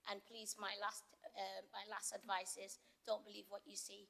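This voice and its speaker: Middle-aged woman, gravelly, warm and emphatic, speaking as an outgoing mayor in a council chamber. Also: mid-range voice, monotone, normal speed. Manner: heartfelt, joking, emphatic speech. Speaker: retiring Lord Mayor. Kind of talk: farewell speech at a council meeting.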